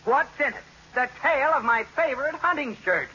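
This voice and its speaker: An actor playing an excitable, easily exasperated husband with an emphatic, blustering manner in a 1940s radio sitcom. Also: male voice, very high voice, normal speed, full character voice. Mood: indignant. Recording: scratchy muffled old-time radio recording.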